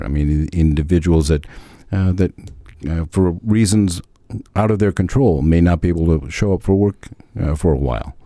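A commissioner talks on a radio program in a measured, halting way. His voice is very low and warm. The sound is close and dry. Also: dry recording. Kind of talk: radio interview guest.

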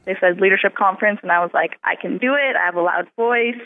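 A woman's voice: medium pitch, quick pace. A woman in her twenties from southwest Alaska, a student delegate giving casual interview answers, eager and chatty with fillers. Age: 20s. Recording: tinny phone-line sound.

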